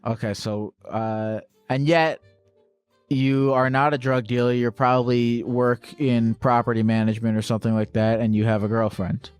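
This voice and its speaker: A man hosts a call-in show, ribbing his caller with quick, profane jokes. His voice sits at an ordinary middle pitch, and faint music hums quietly behind him. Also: level tone, clear, resonant tone. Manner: teasing, profane, quick interjections. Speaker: host.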